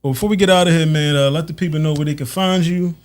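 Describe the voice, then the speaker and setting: An American man in his late thirties hosting a casual podcast, talking in a halting, repetitive way peppered with "like" and "man". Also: fairly high pitch, fairly even intonation. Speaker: podcast host. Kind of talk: casual conversational interview.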